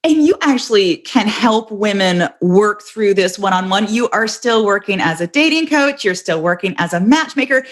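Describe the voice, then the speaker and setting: A woman's voice: mid-range voice, animated, moderate pace, hardly any pauses. A woman with a mid-range voice hosts an interview. She talks conversationally as she sets up topics and prompts her guest. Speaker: interviewer, show host.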